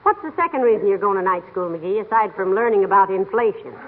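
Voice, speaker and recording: A woman's voice: mid-range voice, expressive, fast, narrow, tinny audio, close to an everyday voice. A woman playing a patient, dryly teasing middle-aged wife in 1940s radio comedy, on an old radio recording. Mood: wry, skeptical. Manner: dry, wry, gently sarcastic.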